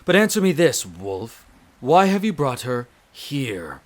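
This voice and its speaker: A man's voice: mid-range voice, big pitch swings, slow pace. Guy doing a snooty grumpy vampire master, curt n condescending, posh formal wording, audio drama thing. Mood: impatient. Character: haughty, irritable aristocratic vampire master, male. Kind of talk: scripted audio roleplay acting.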